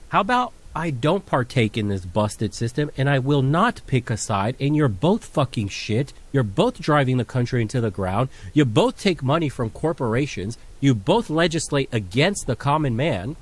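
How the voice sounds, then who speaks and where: A man's voice: mid-range voice, very animated, moderate pace, hardly any pauses. A man from Romania hosting a channel, delivering a blunt, profane, heated rant to his viewers. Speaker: channel host. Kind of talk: ranting commentary to camera.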